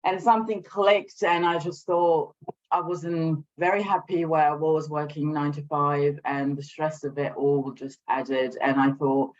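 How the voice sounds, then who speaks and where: A woman from Sri Lanka, raised in France, talking conversationally as an interview guest on a video call, with a hesitant delivery that often pauses to regroup. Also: sits low, lo-fi sound. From Sri Lanka, raised in France from age eight. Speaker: guest, small business owner. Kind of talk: conversational interview, telling own story and showing products.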